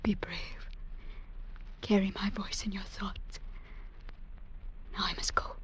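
An actress in old-time radio drama playing a gentle, devoted young village woman in love, speaking softly and tenderly. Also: mid-range voice, flat delivery, takes it slowly, in a whisper, natural voice. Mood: tender, urgent. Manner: soft, breathy, tender. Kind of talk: 1940s-50s radio drama acting. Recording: dull vintage radio recording.

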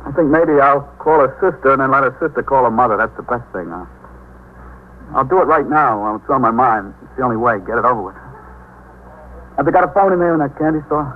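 An actor playing an emotional, good-natured young sailor in a 1950s radio drama, with a hesitant, folksy delivery. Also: male voice, mid-range voice, fast talker, natural voice. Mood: anxious. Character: anxious, grieving young sailor husband, good-natured and excitable. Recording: tinny, noisy 1950s radio recording.

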